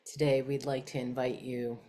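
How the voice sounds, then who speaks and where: A woman leading a guided meditation in a calm, unhurried, soft-spoken way with long pauses. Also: a bass voice.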